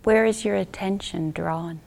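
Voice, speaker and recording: A woman leading a guided meditation as a teacher, speaking gently in short unhurried phrases that trail off softly into near-whisper. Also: mid-range voice, gravelly.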